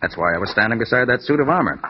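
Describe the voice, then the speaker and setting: An actor playing a confident, shrewd master detective in an old radio drama, speaking with brisk authority. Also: male voice, medium pitch, animated, lightly acted. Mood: brisk, deductive. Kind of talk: 1940s-50s radio drama acting. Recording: muffled, noisy vintage radio recording.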